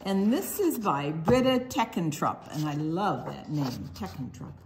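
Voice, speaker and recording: Animated, unhurried woman reading to children. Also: low voice, a little hiss or hum. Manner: expressive, drawn-out words, hushed asides. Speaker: children's storytime reader. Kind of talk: animated picture-book read-aloud to young children.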